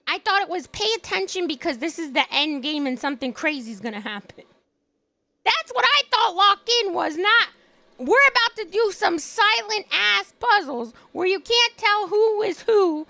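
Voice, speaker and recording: A young woman streaming live, chatting casually with a hoarse voice and telling an animated story full of laughs. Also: very high voice, no echo. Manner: animated storytelling, laughs often, acts out quotes.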